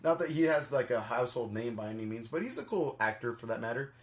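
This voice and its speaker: A man's voice: mid-range voice, animated, quick pace, breathy edge. A man hosting a podcast, chatting casually about entertainment in a rambling, hedging way. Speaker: podcast host. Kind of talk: casual movie review chat.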